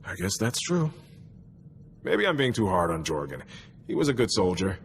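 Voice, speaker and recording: An actor playing an easygoing, chatty and wry young army soldier in a video game, speaking in a musing, conversational way. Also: male voice, fairly low pitch, big pitch swings, moderate pace, slight background noise. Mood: wry, reminiscing. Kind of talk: video game cutscene dialogue.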